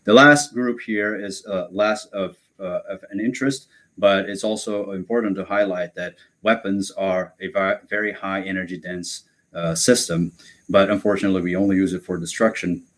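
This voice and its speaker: Brazil-born male lecturer, very low voice, halting and filler-heavy. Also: steady tone, fairly bright tone. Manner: hesitant, many fillers and restarts. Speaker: guest lecturer. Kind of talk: academic webinar lecture.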